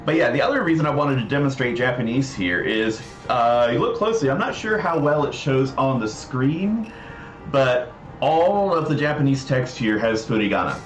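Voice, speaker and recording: A man's voice: medium pitch, expressive, moderate pace. A man hosting a casual livestream, talking through a demonstration of a game project in an informal way. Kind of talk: casual livestream demo commentary. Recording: roomy echo, music behind. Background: game music.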